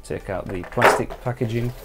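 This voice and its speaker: A man's voice: mid-range voice, fairly quick, loud music behind the voice. A man giving a casual hands-on product walkthrough as a shop technician, explaining step by step.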